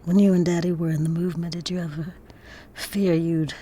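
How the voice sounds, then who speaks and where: An actress playing a troubled, searching daughter of activists in an audio drama, with a hushed, hesitant delivery. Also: female voice, on the low side, level tone, a little fast, slight background noise, lightly acted. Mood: anxious, hesitant. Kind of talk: intimate audio drama acting.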